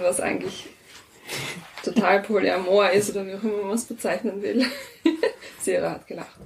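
A young woman talking casually about her life as an interview guest, halting as she thinks aloud. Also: medium pitch, gravelly, a little hiss or hum. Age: young adult. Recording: music playing behind the voice. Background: music.

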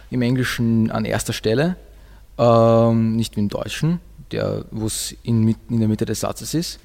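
A young man explaining things haltingly as a student guest on a school radio programme. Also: medium pitch, gravelly. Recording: long echoing hall reverb.

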